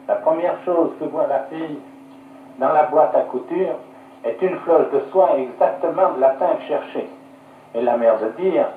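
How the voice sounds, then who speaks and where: A man narrating a scripted folk tale in 1950s radio style, fluent, expressive and literary, on an archive recording. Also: medium pitch, distant mic, narrow, tinny audio, slight background noise. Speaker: storyteller. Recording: echoey, band-limited 1950s radio archive.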